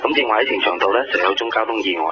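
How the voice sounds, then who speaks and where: Actor as a brisk, low-voiced Hong Kong official. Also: male voice, over a noisy crowd, natural voice. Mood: polite, matter-of-fact. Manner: polite, procedural. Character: calm official man phoning with accident news, matter-of-fact. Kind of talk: radio drama acting. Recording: dull lo-fi radio drama, music behind.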